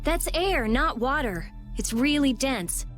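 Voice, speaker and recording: Game role: clever, snappy teen mage girl, high and animated, blunt, faint music. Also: takes it slowly, heavily acted. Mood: brusque. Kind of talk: video game cutscene acting.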